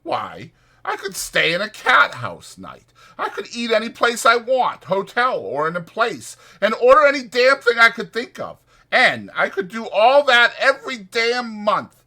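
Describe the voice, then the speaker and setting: Man acting an irritable, high-strung ranch hand. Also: wildly swooping pitch, unhurried, creaky, bright, forceful tone, exaggerated voice. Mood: furious ranting. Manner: slangy rural American working man's talk, scolding. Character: short-tempered, exasperated migrant ranch worker, ranting bitterly.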